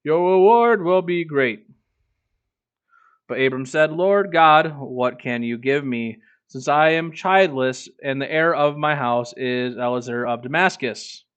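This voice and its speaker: A man's voice: on the high side, measured pace, fairly bright tone, clear, resonant tone. Man reading aloud, dull lo-fi sound. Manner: irreverent, profane asides. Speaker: streamer reading a book aloud. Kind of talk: reading aloud with casual commentary.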